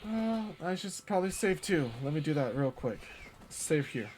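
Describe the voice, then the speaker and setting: A man's voice: a little high, sing-song, normal speed, darkish tone, slight background noise. A man live-streaming a spooky visual novel, reading its narration aloud dramatically and dropping casual, sweary asides. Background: game music.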